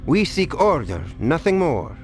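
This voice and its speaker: A man playing a haughty, smooth-talking Templar villain in a video game, with a condescending, sardonic manner. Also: a little high, big pitch swings, measured pace, faint music behind.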